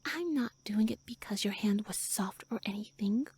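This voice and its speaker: Woman acting a pouty, breathy tsundere elf girl. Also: medium pitch, a little mellow. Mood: sheepish, haughty. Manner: flustered, haughty, defensive, halting. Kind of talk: roleplay audio acting, addressed to listener.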